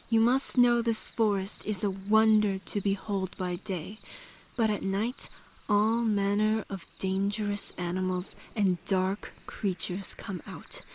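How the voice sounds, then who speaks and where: A woman playing a mysterious forest woman in an audio drama, speaking in a formal, measured, old-fashioned way and calmly giving instruction. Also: mid-range voice, a little hiss or hum, lightly acted.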